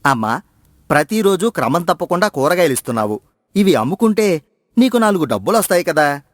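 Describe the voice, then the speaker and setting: A man voicing a kindly, fatherly temple priest in a moral story, speaking gently with affectionate reassurance. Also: fairly high pitch, very animated, fairly quick, no echo, clean studio sound.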